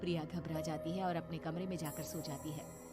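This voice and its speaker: A woman's voice: deep voice, fairly even intonation, a little fast. A woman reading a scripted horror story as narrator for a cartoon. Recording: loud music behind the voice. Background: loud background music.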